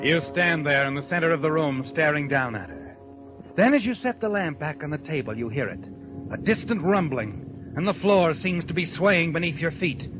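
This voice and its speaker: A man's voice: on the high side, expressive, moderate pace, lightly acted. Ominous, sardonic narrator for vintage radio mystery, male actor: insinuating, dramatic, over music on a lo-fi recording. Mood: tense. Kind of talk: 1940s radio mystery narration, second person.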